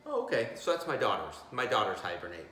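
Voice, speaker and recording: Very echoey, animated man reading to children. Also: lowish voice, fast. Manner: chatty asides to young listeners. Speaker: read-aloud host, teacher. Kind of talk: casual children's storybook read-aloud. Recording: very echoey, roomy sound.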